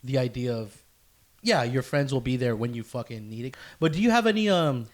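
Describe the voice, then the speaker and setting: A man's voice: medium pitch, lively intonation, brisk. A young adult man hosting a casual podcast chat, telling stories with slang and swearing, repeating himself for emphasis. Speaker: podcast host.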